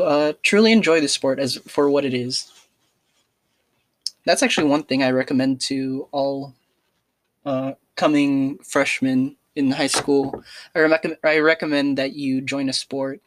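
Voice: mid-range voice, dry recording. A young adult student talking through a casual personal podcast in an earnest, hesitant way, with many fillers and restarts.

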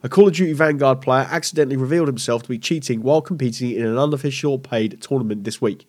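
A man's voice: mid-range voice, quick pace, darkish tone. A man hosting a casual solo gaming news podcast, stuffy with a cold, rambling and correcting himself as he goes. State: congested with a cold. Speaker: podcast host.

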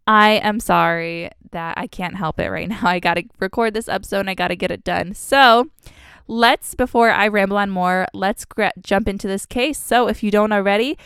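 A woman's voice: medium pitch, normal speed, fairly bright tone, smooth, no room sound, clean studio sound. A young woman hosting a true crime podcast in a casual, chatty way. She has a cold and sounds a bit congested, and she fills her talk with "like" and "um". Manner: chatty, lots of "like" and "um" fillers. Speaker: podcast host.

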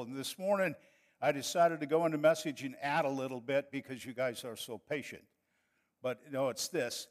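An older man from northern Wisconsin with an Upper Midwest accent, preaching a folksy, chatty sermon that builds to fervent passages. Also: sits high. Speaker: pastor.

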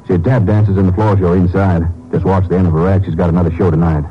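A man's voice: low-pitched, level tone, quick pace, close to an everyday voice. A man playing a laconic, easygoing Texas Ranger partner in a 1950s radio crime drama, talking casually. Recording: muffled old radio recording, music behind.

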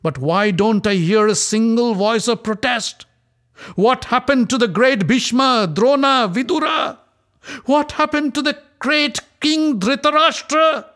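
A man's voice: fairly bright tone, full character voice. A man voicing a proud young queen who screams and rages in a storybook reading. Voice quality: shrill, screaming.

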